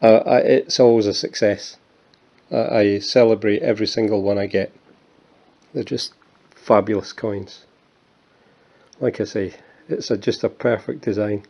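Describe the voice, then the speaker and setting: Scottish guy in his 50s, lowish voice, rambling and joking about himself, muffled lo-fi sound. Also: fairly even intonation, measured pace, a little mellow. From Scotland. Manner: chatty, self-mocking, many fillers and restarts. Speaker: coin collector. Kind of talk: casual show-and-tell vlog.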